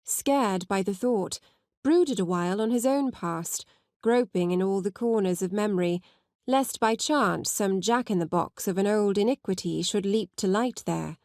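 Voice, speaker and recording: A woman's voice: mid-range voice, a little slow, a little mellow, dry recording. Woman narrating, close dry sound. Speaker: audiobook narrator. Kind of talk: scripted literary narration.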